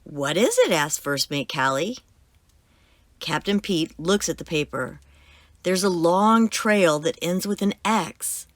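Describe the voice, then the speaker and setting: A woman reading a children's book aloud as host, with expressive, emphatic storytelling. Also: lowish voice, takes it slowly, dry recording.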